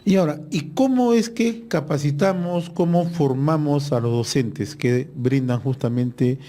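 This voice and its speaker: A male interviewer with a rather high, mellow voice puts probing follow-up questions to his guest. He drops in short acknowledgements between the answers and keeps steering the conversation. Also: a little slow.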